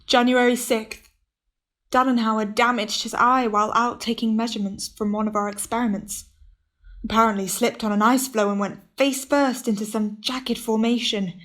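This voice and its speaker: A woman voicing a measured, firm ship's captain in a radio play, narrating log entries and giving orders. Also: fairly high pitch, steady tone, a bit bright, lightly acted. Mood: sober. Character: steady male ship's captain keeping a log. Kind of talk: amateur radio drama acting.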